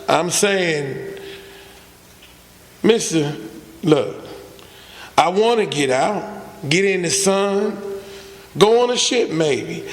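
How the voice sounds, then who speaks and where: An actor playing a despairing, resigned young male prisoner with rough, uneducated speech in a radio drama. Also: high voice. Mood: wistful. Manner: plain uneducated speech with double negatives. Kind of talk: old-time radio drama acting. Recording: echoey hall stage recording.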